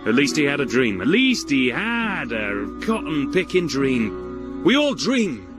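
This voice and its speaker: Self-important older zookeeper, dreamy and melodramatic, pitch all over the place, music behind him. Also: male voice, big pitch swings. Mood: impassioned, grandiose. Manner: grandiose, drawn-out dramatic storytelling. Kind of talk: deadpan surreal sitcom dialogue.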